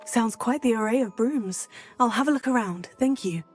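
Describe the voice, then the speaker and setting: An actress playing a polite, curious teenage witch student in a video game, speaking in a composed, measured way. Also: fairly high pitch, slightly rough, faint music behind. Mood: polite interest. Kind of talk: scripted game dialogue.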